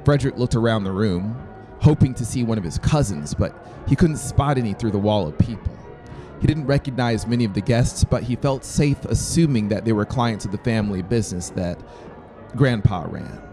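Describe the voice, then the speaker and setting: Male narrator, thirties, for fiction audiobook: dark, mellow, low voice over faint music. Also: moderate pace. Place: small brick-walled room, indoors. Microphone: close dynamic mic on boom stand.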